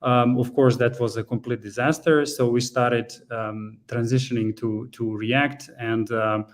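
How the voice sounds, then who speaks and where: A man from Serbia giving an online meetup talk as a guest engineer, pausing often with fillers and restarting his sentences. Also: medium pitch, steady tone. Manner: hesitant, many fillers and restarts. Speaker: guest speaker, frontend engineer. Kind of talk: conference talk presentation.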